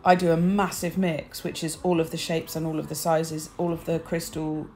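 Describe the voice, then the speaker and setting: A woman with a croaky early-morning voice, chatting bubbly through a nail art tutorial and sharing tips. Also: sits low, flat delivery, normal speed, a little mellow, a little hiss or hum. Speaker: nail technician.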